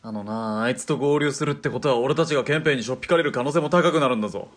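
A man's voice: medium pitch, rapid-fire, natural voice. Man acting a blunt, cynical hired killer. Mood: gruff, exasperated. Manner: rough, casual masculine speech. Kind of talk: radio drama acting.

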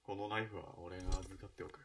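A man's voice: low voice, little pitch movement, fast, fairly bright tone. An actor playing a stern, protective young man and loyal grieving friend in a melodramatic radio drama. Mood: subdued, consoling. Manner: urgent, firm, emotional. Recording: slightly distant from the mic.

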